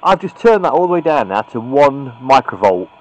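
A man's voice: mid-range voice, big pitch swings, moderate pace, poor audio. A British man giving an informal, opinionated hands-on tutorial in a blunt way, recorded on a camera's built-in microphone. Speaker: radio repair hobbyist presenting a tutorial. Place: indoors at a cluttered electronics workbench.

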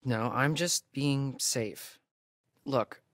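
A man's voice: mid-range voice, drawn-out words, a bit bright, no echo, studio quality. A young man with an American accent playing a sarcastic, nervy camp counselor in a video game, speaking in a hesitant, stammering way. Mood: wry, uneasy. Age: young adult. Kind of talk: naturalistic video game acting.

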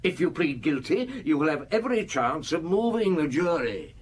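A middle-aged police detective in a radio play, patient but probing. The voice is husky, and the delivery is deliberate with widely varied intonation. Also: male voice, fairly high pitch, big pitch swings. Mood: grave, pressing. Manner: deliberate, probing, grunting short replies. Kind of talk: radio drama acting.

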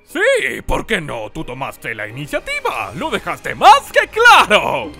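A man's voice: high-pitched, wildly swooping pitch, takes it slowly, gravelly, pushed and bright, faint music behind, exaggerated voice. A man voicing a flamboyant, eager cartoon ringmaster with a showy, grandiloquent way of talking. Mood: excited, eager. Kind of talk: theatrical cartoon dub acting.

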